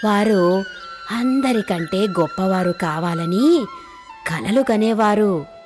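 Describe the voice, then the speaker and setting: A woman reads a children's tale as its narrator. Her delivery is slow and measured, in a long reverb with clear music underneath. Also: medium pitch, animated, darkish tone. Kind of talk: scripted children's story narration.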